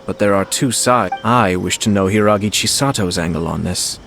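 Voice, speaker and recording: A man playing a courteous, shrewd young clan leader in a video game, speaking formal, measured, eloquent lines. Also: low voice, creaky, a bit bright. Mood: thoughtful, measured. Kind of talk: video game character acting. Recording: music playing behind voice.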